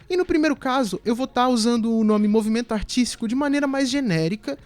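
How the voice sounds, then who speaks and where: A man's voice: extremely high pitch, a little mellow. A Brazilian man giving casual video essay commentary in a conversational, explanatory way.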